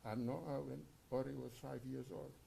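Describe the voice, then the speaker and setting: A man in his sixties with a Senegalese accent, speaking halting non-native English as he tells an informal anecdote in tribute. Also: medium pitch, steady tone, creaky, dark tone, a little hiss or hum. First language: a language of Senegal. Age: 60s. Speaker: mourner giving a tribute.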